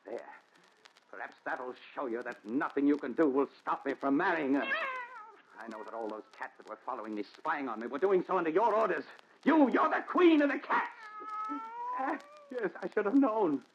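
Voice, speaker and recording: An actor playing a haunted, desperate man confessing his story, with intense, dramatic pauses in 1940s radio melodrama style. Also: a little high, animated. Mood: frenzied, defiant. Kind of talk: 1940s radio thriller acting. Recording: dull noisy 1940s radio recording.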